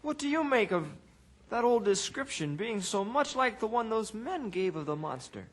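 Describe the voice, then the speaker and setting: Young male sidekick: high-pitched, animated, earnest and curious, classic radio adventure serial style. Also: normal speed. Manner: earnest, inquisitive. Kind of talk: old-time radio drama acting.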